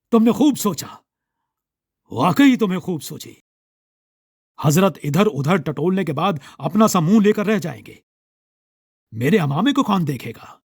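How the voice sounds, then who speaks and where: A man's voice: fairly high pitch, creaky, breathy edge, no echo, studio quality, full character voice. A man voicing a gullible, self-satisfied Mughal emperor in an audiobook story, with a regal, polite manner.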